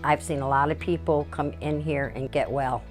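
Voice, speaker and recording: A woman's voice: sits low, steady tone, normal speed, faint music behind. A woman client speaking plainly and heartfelt about getting help. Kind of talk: personal testimonial.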